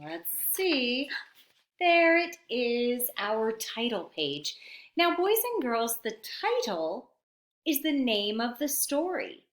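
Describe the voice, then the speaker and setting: A woman reading a picture book aloud to young children in an expressive, teacherly manner, pausing to explain words. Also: fairly high pitch. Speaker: storytime reader for young children. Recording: roomy, echoey sound.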